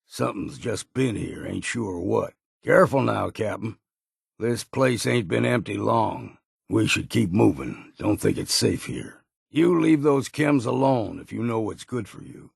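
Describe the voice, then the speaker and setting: An actor playing a gruff, weary old hunter in a video game, grumbling and wary in a folksy, plainspoken rustic drawl. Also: male voice, lowish voice, animated, unhurried, dry recording, clean studio sound, full character voice. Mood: stern, disapproving. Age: older. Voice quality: gravelly, husky. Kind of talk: video game character voice lines.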